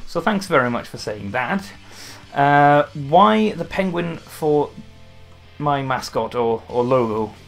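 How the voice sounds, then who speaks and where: A man's voice: on the high side, expressive, slow, gravelly, soft background music. A young man from Wales with a light Welsh accent, chatting casually to camera as a gaming YouTuber answering questions, rambling with restarts and fillers.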